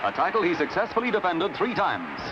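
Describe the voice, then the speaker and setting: An Australian man reading scripted 1950s newsreel narration in a brisk, colourful announcer's delivery, on a vintage soundtrack. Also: high voice, wildly swooping pitch, lo-fi sound, heavy background noise. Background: music.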